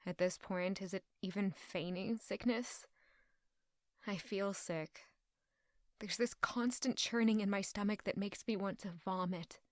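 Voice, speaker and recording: A woman's voice: mid-range voice, steady tone, measured pace, no room sound, clean studio sound, natural voice. An actress playing an anguished, proud young court lady in an audio drama, brooding intimately in a dramatic first-person monologue. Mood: weary, brooding. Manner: intimate, brooding, emotionally intense.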